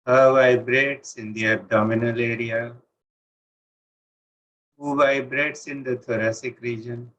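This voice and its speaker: A man with an Indian accent leads a guided meditation, speaking calmly and deliberately with pauses between phrases. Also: mid-range voice. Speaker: meditation teacher. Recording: dull, lo-fi online session audio.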